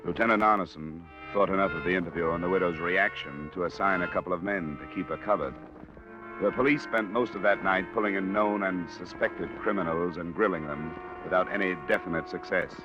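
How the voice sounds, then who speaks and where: Deep-voiced radio detective played by a man, vintage sound. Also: close to an everyday voice. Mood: matter-of-fact. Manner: measured, matter-of-fact. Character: cool, probing male insurance investigator narrating his case. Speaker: detective lead and narrator. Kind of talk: 1950s radio detective narration and dialogue. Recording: dull vintage radio recording with music.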